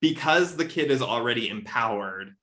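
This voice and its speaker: A man's voice: medium pitch, lively intonation, moderate pace, sharp tone. Guy chatting about a short story, emphatic, keeps tagging stuff with right?, normal mid voice. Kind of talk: casual literary discussion.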